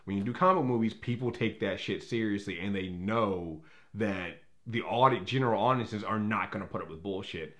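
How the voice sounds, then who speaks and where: A man with a fairly low voice delivers a casual, opinionated movie review. He rambles and corrects himself, repeating words while he works toward his point. His wording is blunt and loosely profane. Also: moderate pace, a bit bright.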